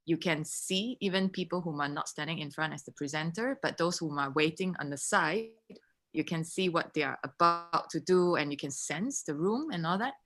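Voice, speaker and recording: A woman's voice: deep voice, lively intonation, normal speed, fairly bright tone. A woman taking part in a workshop discussion, talking casually over a video call. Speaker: workshop participant. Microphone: computer video call.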